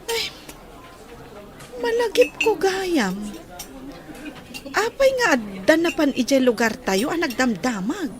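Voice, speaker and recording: A woman's voice: high voice, very animated, slow pace, pauses often, exaggerated voice. An actress playing a curious, chatty young village woman in a radio drama.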